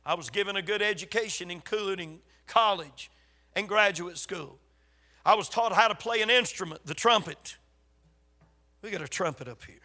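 An older man with a Southern US accent preaching in a folksy, emphatic storytelling way, his voice slightly raspy from a lingering cold. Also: sits high, moderate pace, pushed and bright. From South Carolina, USA. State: getting over a cold. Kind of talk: sermon to a congregation.

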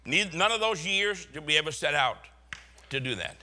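A man's voice: fairly high pitch, animated, normal speed, pushed and bright. An older man from Boston with a Boston accent, a pastor preaching a sermon full of folksy, chuckling stories.

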